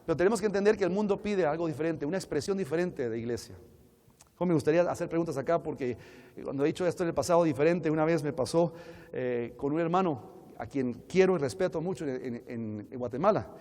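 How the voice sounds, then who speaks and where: A man's voice: on the high side, quick pace, darkish tone. A man with a Colombian Spanish accent, teaching in a sermon style to an audience, conversational and full of rhetorical questions. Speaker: preacher and conference speaker. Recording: echoey hall with long reverb.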